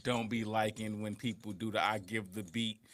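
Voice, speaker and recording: A man's voice: lowish voice, normal speed. A man hosting a casual livestream reaction chat, joking and laughing as he talks. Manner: animated, joking, laughs often. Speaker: reaction show host.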